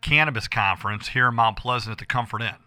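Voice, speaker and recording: A hoarse American man with a forceful, very bright voice hosts a radio show from a dry, close studio. He talks casually to his listeners, rambling and stressing points by saying them again. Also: mid-range voice, fast talker, dry recording. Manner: rambling, emphatic, repeats himself.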